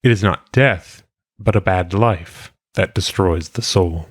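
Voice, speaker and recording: A man reading philosophical maxims aloud in a solemn audiobook style, with measured, deliberate phrasing. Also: low-pitched, husky, no echo, studio quality. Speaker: reader.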